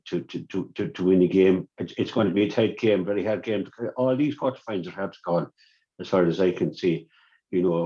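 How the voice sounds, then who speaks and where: A man's voice: deep voice, mellow. A man giving a sports preview on radio, stumbling and repeating words as he talks. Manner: halting, many repeated words and restarts. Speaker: sports analyst. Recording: dull lo-fi radio sound.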